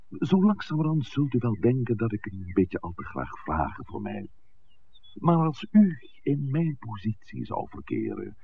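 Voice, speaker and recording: A dignified old-fashioned village priest in a vintage radio play. His very deep voice moves in lively swings, and his phrasing is formal, deliberate and evasive. The recording is muffled and noisy. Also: gravelly, full character voice. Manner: formal, deliberate, wordy, hesitant at times. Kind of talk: 1960s Dutch radio drama acting.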